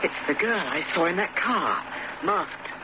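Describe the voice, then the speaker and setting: Through heavy noise, an animated, fairly high-pitched Englishman comes across as a reluctant secret agent. He is weary and droll, easily flustered, in the manner of a British radio thriller. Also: measured pace. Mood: alarmed. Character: tired, wry Mayfair hairdresser moonlighting as secret agent. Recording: noisy lo-fi radio drama recording.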